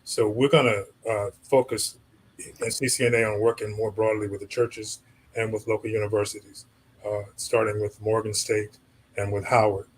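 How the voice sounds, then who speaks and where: A man's voice: on the low side, level tone, normal speed, slight background noise, poor audio. A man moderating a community panel, chatting casually and directing questions to the speakers. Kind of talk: panel discussion.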